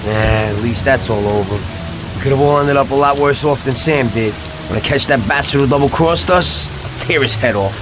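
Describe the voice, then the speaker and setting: Guy acting slangy tough gangster, teasing and hot tempered, game cutscene, loud music and rain over it. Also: mid-range voice, moderate pace, natural voice, low-quality recording. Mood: grim. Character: streetwise, wisecracking male gangster, loyal and hot-tempered.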